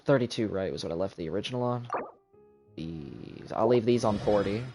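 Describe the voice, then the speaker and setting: Low-voiced man muttering over game music. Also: a little fast. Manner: muttering to himself, counting aloud. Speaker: game streamer. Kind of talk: casual live gameplay commentary. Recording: music playing behind the voice.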